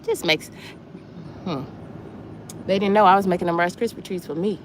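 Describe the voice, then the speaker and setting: A woman in her forties chatting casually on a livestream while out walking, repeating herself warmly and calling her viewers y'all. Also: fairly low pitch, normal speed. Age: 40s. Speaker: livestream host. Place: outdoors on a walk, wind noise. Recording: faint wind noise.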